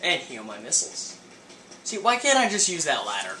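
A game player giving casual let's play commentary, thinking aloud and exclaiming in an animated way. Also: medium pitch, moderate pace. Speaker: gaming video host. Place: indoor room, playing in front of TV. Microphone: camera mic filming a TV screen. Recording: roomy camera recording with game music. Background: video game music.

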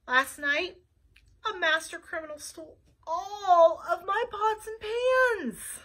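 Storybook: woman plays a wailing, high-pitched penguin chef, bright tone. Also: slow pace, exaggerated voice, low-quality recording. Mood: upset. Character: distraught male penguin restaurant owner.

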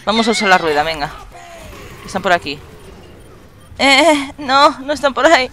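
A woman with an accent from Spain chatting excitedly while playing a shooter game with a friend, laughing and swearing often. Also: on the high side, moderate pace, a little hiss or hum. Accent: Spanish from Spain. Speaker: gaming channel host. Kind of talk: casual gameplay chat with a friend.

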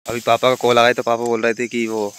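A man's voice: mid-range voice, completely flat delivery, quick pace, fairly bright tone, clean tone. A man, vlogging casually on a phone outdoors. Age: 20s. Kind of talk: casual chat to camera. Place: outdoors in an open farm field. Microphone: phone at arm's length. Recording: noisy handheld phone outdoor recording.

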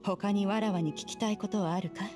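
A woman voicing a haughty, stern immortal sage in a video game, speaking in a measured, archaic, imperious way. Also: medium pitch, steady tone, over music, close to an everyday voice. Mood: stern, composed. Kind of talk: Japanese video game voice acting.

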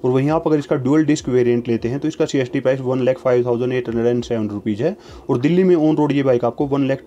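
A man narrating a voice-over, reading out long lists of prices in a steady, even, formulaic way. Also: mid-range voice, slight background noise.

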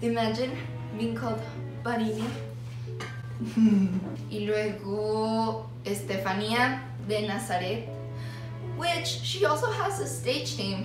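A young woman from Mexico chatting casually to her phone camera as a vlogger, in a bubbly, nervous, self-deprecating way. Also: mid-range voice. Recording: very echoey room, a little far from the mic, music behind.

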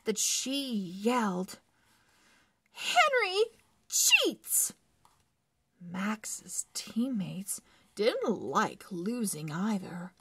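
A woman narrating a children's read-along book in a deliberate, expressive way, phrase by phrase. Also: medium pitch, creaky, breathy edge, dry recording. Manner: deliberate, word-by-word phrasing with animated emphasis. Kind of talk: slow expressive read-aloud for early readers.

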